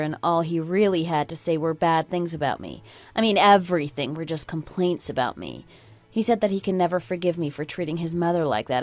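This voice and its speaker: Woman acting fed-up, low-pitched sister-in-law. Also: a little mellow, close to an everyday voice. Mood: pointed, resentful. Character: fed-up, sharp-tongued oldest daughter-in-law, a divorcing breadwinner. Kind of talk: scripted radio drama acting.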